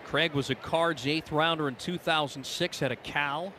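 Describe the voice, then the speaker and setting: A man's voice: on the high side, moderate pace, a little hiss or hum. An American man calling baseball play-by-play on the radio, his voice rising on big plays. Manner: excitable, voice rises on big plays. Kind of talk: live baseball radio commentary.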